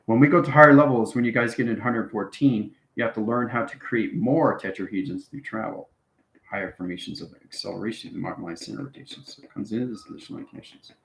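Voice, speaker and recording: The room rings noticeably around this man's fairly low voice. He teaches viewers informally, as if demonstrating step by step. His phrases come in fragments thick with esoteric jargon, punctuated by brief check-ins. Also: fast talker, gravelly, fairly bright tone. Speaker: online spiritual teacher. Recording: roomy, echoing sound.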